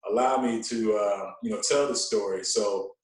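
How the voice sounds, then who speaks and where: Male podcast guest, very echoey room, slightly off-mic, earnest and deliberate, repeats for emphasis. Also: on the low side. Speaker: podcast guest, radio DJ and fitness coach. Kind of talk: casual conversational explaining. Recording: roomy echo, distant from mic.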